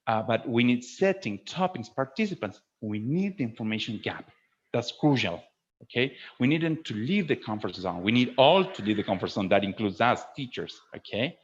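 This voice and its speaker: Male webinar presenter for teacher training, mid-range voice, instructive with regular check-ins, slightly dull low-fidelity call sound. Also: animated, moderate pace. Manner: didactic, frequently checks in with "okay?", emphasises key words. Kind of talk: webinar talk to fellow teachers. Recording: dull lo-fi online webinar audio.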